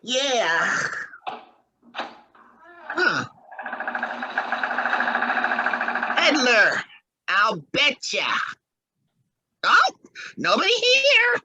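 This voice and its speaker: A woman playing a simple, stubborn young husband devoted to his mama in an old-time radio horror play, naive, folksy and excitable. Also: sits high, extremely slow, pauses often, heavily acted, rough recording. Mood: puzzled.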